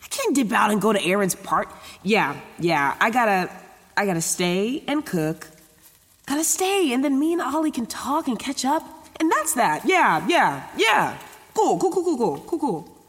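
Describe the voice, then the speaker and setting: A woman's voice: a little high, big pitch swings, normal speed, slightly rough, in a large hall. An actress playing an anxious, chatty young woman who rambles casually and swears in a naturalistic audio drama monologue. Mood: flustered. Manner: rambling, casual, sweary, stop-start self-talk. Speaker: lead actor in an audio drama.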